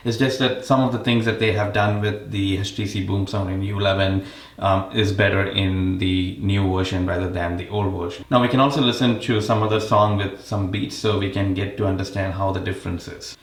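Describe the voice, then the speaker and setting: A man presents a casual gadget comparison in a slightly low voice with a gravelly edge. He explains features as a reviewer would, and the room adds a noticeable echo. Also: fairly even intonation, a little fast. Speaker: tech reviewer and host.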